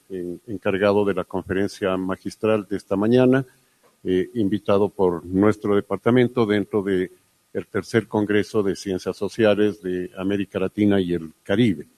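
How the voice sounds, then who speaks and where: Man, lowish voice, formal conference introduction, halting with many fillers. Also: darkish tone, rough recording. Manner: hesitant, frequent fillers and restarts. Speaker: conference moderator introducing a speaker.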